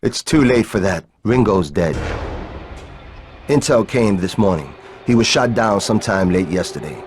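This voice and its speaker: Man as deep-voiced, wry pilot comrade. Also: animated, moderate pace, slight background noise, close to an everyday voice. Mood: sober. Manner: blunt, teasing.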